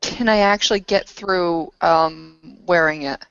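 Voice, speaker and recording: A woman's voice: lowish voice, a little slow, a bit bright, clear, resonant tone, no echo, dull audio, soft background music. A woman playing a tabletop roleplaying game, chatting casually and asking about the rules.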